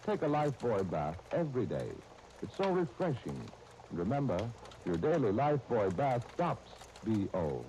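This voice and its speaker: A man's voice: medium pitch, sing-song, moderate pace, warm tone. A man working as a commercial announcer, reading a 1930s radio advertisement. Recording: noisy 1930s radio broadcast disc.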